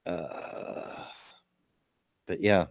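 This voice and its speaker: Gravelly-voiced man in his fifties. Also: low voice, big pitch swings, drawn-out words, no echo, clean studio sound. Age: 50s. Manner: folksy, rambling with restarts. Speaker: show host. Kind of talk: casual livestream chat, reading local news.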